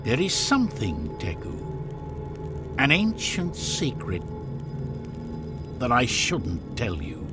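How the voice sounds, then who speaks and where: A man voicing a wise, solemn shaman master in a video game, speaking gravely and deliberately to his pupil, with music behind. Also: mid-range voice, huge pitch swings. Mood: secretive, grave. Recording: loud music under the voice.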